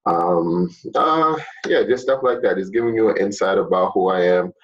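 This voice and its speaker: A man's voice: low-pitched, moderate pace, lo-fi sound. A young man, a fitness teacher from Jamaica, talking casually to his students with many fillers. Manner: relaxed, chatty, many fillers.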